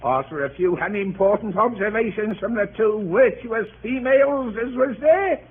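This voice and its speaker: An actor playing a cheeky, unflappable Cockney manservant in a 1930s radio drama, droll, wordy and mock-respectful. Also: male voice, high voice, moderate pace, lightly acted. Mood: droll, unruffled. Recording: tinny, noisy 1930s radio broadcast.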